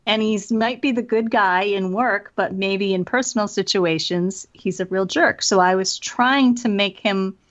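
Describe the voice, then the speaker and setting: The recording is slightly dull and lo-fi, like a remote call. An American woman with a mid-pitched voice explains her creative work in a conversational interview style, slipping into little quotes as she goes. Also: measured pace, hardly any pauses. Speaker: interviewed author and voice actor. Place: remote call from separate location.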